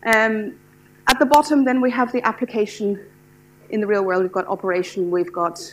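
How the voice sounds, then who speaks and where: Irish woman, middle-aged, brisk eager lecturer, steady mid voice, faint hum under the lapel mic. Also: mid-range voice, low-quality recording. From Ireland. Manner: fast, enthusiastic, frequent restarts and fillers. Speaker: lecturer, standards specialist. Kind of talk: lecture to a class. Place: lecture hall with seated audience.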